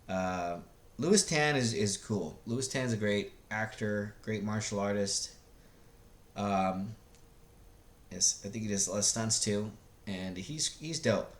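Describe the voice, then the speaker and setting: A man in his thirties hosting a casual, rambling review in a halting, searching way, with laid-back slangy enthusiasm. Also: fairly low pitch. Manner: hesitant, halting, searching for words. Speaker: video host reviewing films. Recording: roomy, echoey sound.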